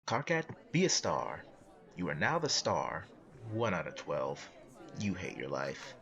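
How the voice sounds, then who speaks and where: Man as blunt, commanding comic narrator; deep, animated delivery, second-person storytelling. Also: unhurried, gravelly, full character voice. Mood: wry, commanding. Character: deadpan, blunt male narrator commanding the hero.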